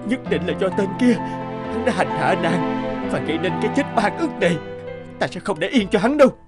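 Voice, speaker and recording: Earnest, righteous cartoon official, expressive pitch. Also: male voice, fairly quick, over loud music. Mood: vowing justice. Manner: earnest, dramatic. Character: earnest, righteous young official, devoted former sweetheart. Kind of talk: animated folktale dubbing.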